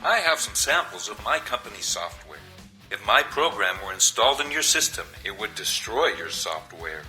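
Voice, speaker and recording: An actor playing an earnest human software salesman in a video game, explaining things emphatically and persuasively. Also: lowish voice, big pitch swings, normal speed, faint music behind, full character voice, poor audio. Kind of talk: video game cutscene acting.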